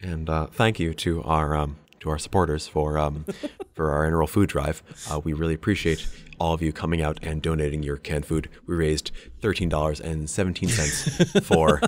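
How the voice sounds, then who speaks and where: A man's voice: very deep voice, fairly even intonation, moderate pace. A man co-hosting a podcast, joking casually in a wry, sarcastic and sweary way. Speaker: podcast co-host.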